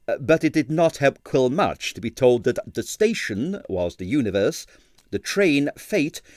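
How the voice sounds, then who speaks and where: An expressive man narrates a comic detective story in a wry storytelling manner. His mid-pitched voice moves freely up and down, and the sound is dry, without room echo. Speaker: audiobook reader. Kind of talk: dramatised narration of a comic 1930s detective novel.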